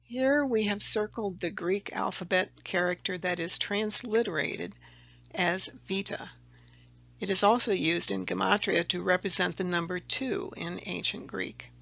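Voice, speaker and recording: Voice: medium pitch, steady tone, measured pace, gravelly, bright, forceful tone, no room sound, lo-fi sound. A presenter giving a slide-by-slide voice-over explanation of a table, methodical and step by step, sometimes correcting themselves. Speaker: video presenter explaining a letter-number table.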